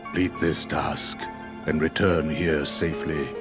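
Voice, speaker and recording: An old wizard master with a low voice and slow delivery. He is stern and authoritative, giving solemn game exposition over prominent music. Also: little pitch movement. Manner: measured, authoritative.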